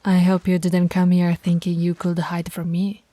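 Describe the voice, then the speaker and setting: A woman's voice: on the low side, completely flat delivery, normal speed, dark tone, dry recording, close to an everyday voice. A woman voicing a stern, teasing alpha werewolf pack leader in an audio roleplay, authoritative and taunting. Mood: teasing, predatory.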